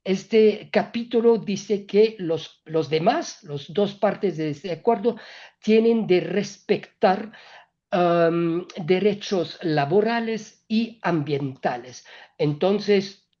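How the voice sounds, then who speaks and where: Non-native woman, panel speaker, slow and hesitant, muffled recording. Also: mid-range voice, warm tone. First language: not Spanish. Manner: halting, many filler sounds and restarts, non-native grammar slips. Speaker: EU trade representative speaking at a business panel. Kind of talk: hesitant panel talk on trade policy.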